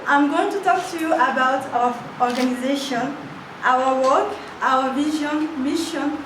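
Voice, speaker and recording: Hesitant high-voiced woman with a French mother tongue. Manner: hesitant, with fillers and restarts, apologetic about her English. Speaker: admin officer of a charity. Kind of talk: short prepared talk at a workshop. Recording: echoey hall from far off, noisy.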